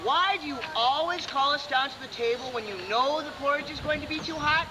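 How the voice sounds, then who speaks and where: A man's voice: very high voice, brisk. A man playing an eager, whiny, inquisitive baby bear in a comic stage play, childlike and persistent. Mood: whiny, curious. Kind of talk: live comic fairy-tale stage play. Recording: very noisy live theatre recording.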